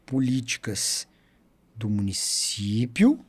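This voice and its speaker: A man in his thirties with a Minas Gerais accent, a podcast guest telling his story in an animated, talkative way. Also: mid-range voice, slow, husky. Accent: Minas Gerais Portuguese. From Brazil. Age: 30s. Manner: chatty, animated storyteller who loves to talk. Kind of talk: casual storytelling interview.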